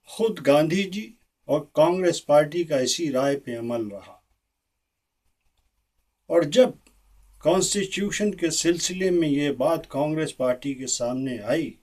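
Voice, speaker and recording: An actor playing an earnest, disillusioned Indian statesman, delivering a theatrical political speech with deliberate, weighty pauses. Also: fairly high pitch, a little mellow. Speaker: actor performing a monologue. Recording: distant microphone.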